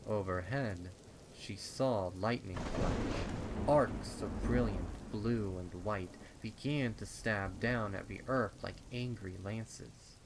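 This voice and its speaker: A man narrating a dark horror story in a dramatic, ominous style with deliberate phrasing. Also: lowish voice, gravelly, noisy background. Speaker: fan fiction narrator. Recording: rain and storm ambience behind. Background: rain.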